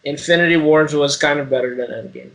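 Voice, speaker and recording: Young male podcast co-host, fairly high voice, crude joker, loose slangy banter. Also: fairly quick, a bit bright. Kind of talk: casual banter among friends.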